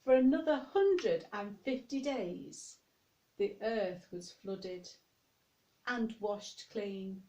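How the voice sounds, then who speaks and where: Casting: female children's storyteller, higher-pitched, very unhurried, expressive, drawn-out emphasis, chatty asides to little listeners. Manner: expressive, addressing young listeners directly. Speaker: minister reading a story to young children.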